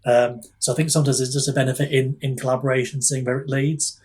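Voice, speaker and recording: Man, husky edge, medium pitch, casual podcast guest, hesitant, rambling, modest. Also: flat delivery, fast talker, a little mellow.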